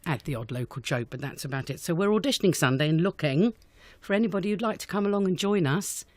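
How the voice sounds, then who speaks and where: A woman's voice: lowish voice, expressive, fast talker, dry recording. A woman answering questions in an unscripted radio interview, chatty and enthusiastic as a guest director. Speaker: guest, amateur pantomime director.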